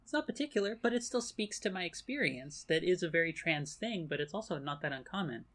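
A woman's voice: lowish voice, animated, brisk, fairly bright tone. A woman speaking frankly in a casual panel discussion, talking in loose, chatty runs filled with "like.".

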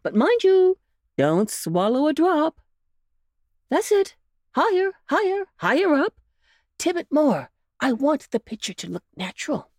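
Woman putting on a storybook old gentleman rabbit, jolly, coaxing, high lilting voice, slow. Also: very animated, dry recording, clean studio sound. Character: kindly old gentleman rabbit, cheerful and clever.

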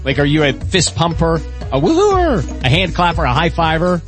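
Animated, higher-pitched male ad spokesman. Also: normal speed, soft background music. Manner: chatty, upbeat. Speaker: advertising spokesman. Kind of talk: casual scripted advertisement.

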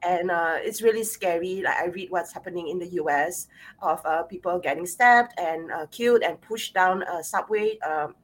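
Slightly low-voiced Singaporean woman hosting. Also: normal speed. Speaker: host. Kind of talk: conversational discussion hosting.

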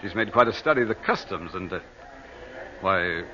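An actor playing a genial, courteous gentleman host, chatty and slightly hesitant, in an old radio drama. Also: low-pitched, lively intonation, moderate pace, slight background noise. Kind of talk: 1940s radio acting. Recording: dull old radio recording with music.

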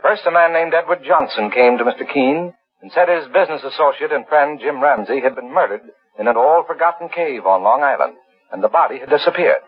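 A man's voice: mid-range voice, expressive, a little fast. A man announcing a radio mystery in dramatic 1940s scripted narration. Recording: muffled, dull 1940s radio recording.

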